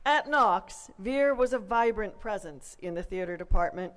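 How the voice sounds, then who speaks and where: A woman presenting an honorary degree, reading a formal citation in a measured way at an outdoor ceremony. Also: mid-range voice, expressive.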